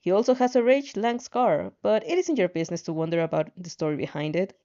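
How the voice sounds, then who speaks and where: A woman reading a story aloud as an amateur narrator, expressive and sometimes stumbling over words. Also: on the low side, a little fast, muffled recording. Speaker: fan fiction reader.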